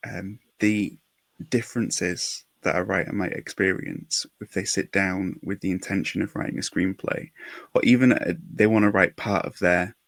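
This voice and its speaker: A man's voice: low voice, steady tone, moderate pace, vocal fry, dry recording. A man hosting a podcast interview, asking his questions in a casual, conversational way.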